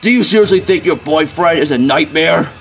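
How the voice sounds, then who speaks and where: A man's voice: a little high, a little fast, slight background noise, lightly acted. A man voicing a menacing, taunting nightmare animatronic monster in an amateur horror drama, gloating with theatrical threats, in a roomy echo with music behind.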